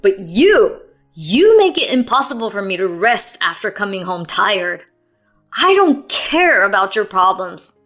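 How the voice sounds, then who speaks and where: A woman's voice: mid-range voice, big pitch swings, measured pace, a bit bright, soft background music. A woman voicing an irritated, domineering husband who barks harsh orders. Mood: yelling.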